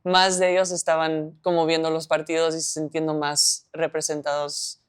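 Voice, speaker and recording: Low-voiced reflective young woman. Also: flat delivery, moderate pace, smooth. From United States. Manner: reflective, hedging with "como". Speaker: professional footballer. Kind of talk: interview answers.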